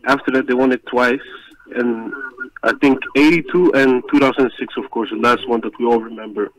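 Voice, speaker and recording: Dutch guy calling in, calm steady talk, radio-ish phone sound. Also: mid-range voice, brisk, poor audio. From Netherlands. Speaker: caller. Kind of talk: phone-in call.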